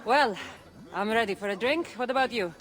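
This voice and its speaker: An actress playing a guarded, solitary ancient warrior woman in a video game, with a Greek accent and a measured delivery. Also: on the high side, expressive, big-room sound, natural voice. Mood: eager. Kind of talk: cinematic video game dialogue. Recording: clear background ambient noise.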